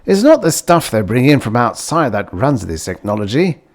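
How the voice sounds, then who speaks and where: A man plays a storybook uncle who muses and coaxes slyly. His pitch rises and swings as he probes. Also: sing-song, normal speed. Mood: musing. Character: probing, musing uncle, slyly coaxing.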